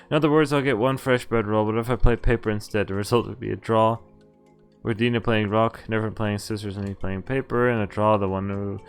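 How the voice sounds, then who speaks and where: A man's voice: lowish voice, clear, resonant tone. A man streaming a game, reading its story text aloud with casual, amused, sweary commentary.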